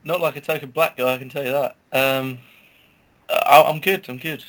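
A man's voice: mid-range voice, steady tone, normal speed, a bit bright. A man co-hosting a podcast with teasing, sweary casual banter. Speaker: podcast co-host.

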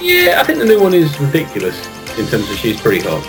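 Male podcast co-host, very animated intonation, jokey and sweary, music behind the voice. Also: fairly high pitch, fast talker. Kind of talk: casual banter among friends, with a dramatic reading.

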